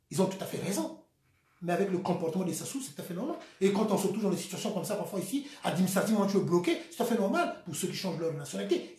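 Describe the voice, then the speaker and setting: Man with a Congolese French accent, fiery activist monologue, very lively pitch, echoey room. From Republic of the Congo. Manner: swings between shouting and quiet, bitter asides, laughs often. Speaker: activist vlogger. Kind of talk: impassioned political monologue.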